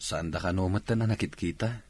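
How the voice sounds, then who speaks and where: An actor playing a polite, modest young bachelor in an Ilocano radio drama. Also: male voice, low-pitched, normal speed, husky. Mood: respectful.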